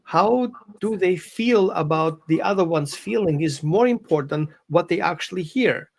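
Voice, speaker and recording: A man's voice: sits high, lively intonation, a little slow, gravelly, darkish tone. A man, a dog behavior consultant explaining on a live show with phrasing and quoted examples. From Greece and Austria.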